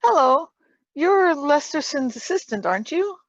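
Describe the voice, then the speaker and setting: A woman playing a brisk, pert young female companion in a radio play reading, on a slightly muffled microphone. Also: high-pitched, sing-song, no echo. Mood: curious.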